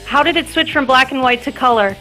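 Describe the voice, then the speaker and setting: Funny lady doing casual audition banter, kinda high bright voice, acts a little self important. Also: quick pace, faint music behind. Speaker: guest auditioning as voice actor. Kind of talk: casual improvised comedy banter.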